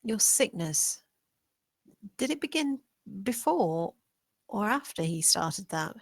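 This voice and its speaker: A woman's voice: mid-range voice, sing-song, slow pace, no room sound, full character voice. Woman as young maid, secretive and bold, drama table read, close dry recording. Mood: probing, hesitant.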